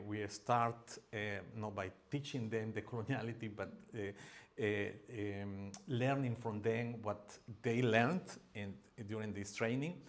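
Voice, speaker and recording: A man with a Peruvian accent presents at an academic seminar, his voice sitting a little low. He speaks in a halting way, filling pauses often and restarting his phrases as he explains. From Peru. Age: middle-aged. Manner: hesitant, many fillers and restarts.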